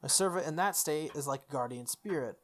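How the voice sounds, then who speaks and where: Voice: medium pitch, brisk, lightly acted. A video game player voicing a sardonic male spirit archer servant, formal and teasing, from a visual novel. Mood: matter-of-fact.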